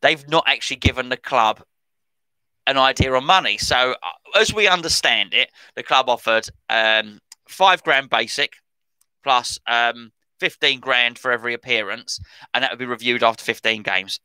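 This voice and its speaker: A man's voice: medium pitch, normal speed, pushed and bright, clean tone, dry recording, rough recording. A middle-aged man, rambling and correcting himself as he chats about football transfer news as a podcast host. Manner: chatty, rambling, self-correcting. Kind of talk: casual commentary on transfer news.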